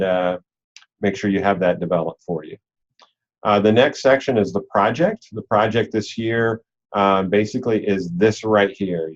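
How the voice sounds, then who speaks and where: A man's voice: fairly low pitch, darkish tone. A man giving an informal, explanatory walkthrough as an organizer on a conference call, repeating key points for emphasis. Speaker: robotics league organizer and coach leading a call. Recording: dull, lo-fi online call audio.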